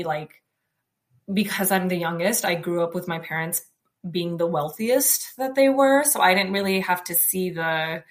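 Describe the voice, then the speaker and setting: A young woman from the United States with a loud, outspoken voice, telling her life story in a chatty way with many fillers and restarts. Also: lowish voice. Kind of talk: personal storytelling, casual.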